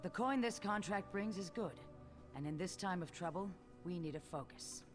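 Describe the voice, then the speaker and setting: An actor, a woman, playing an earnest, newly appointed guildmaster in a fantasy game, speaking in a measured, formal way. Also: fairly low pitch, lively intonation, close to an everyday voice. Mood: solemn. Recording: game music underneath.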